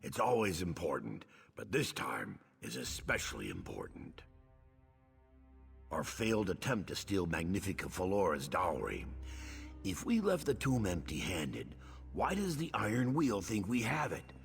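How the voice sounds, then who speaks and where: A wry, theatrical guild rogue for a fantasy game. His low voice is gravelly and musing. It rings in a long hall reverb over light music. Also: wildly swooping pitch, unhurried, full character voice. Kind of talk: video game voice acting.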